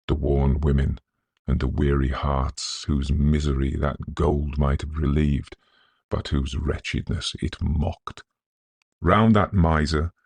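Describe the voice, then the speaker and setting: A man with an extremely low, creaky voice narrates a gothic tale in a close, dry recording. His delivery is scholarly and measured, full of atmosphere, as in a classic dramatic audiobook. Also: no echo. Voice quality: gravelly, husky. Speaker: narrator of a Victorian ghost story.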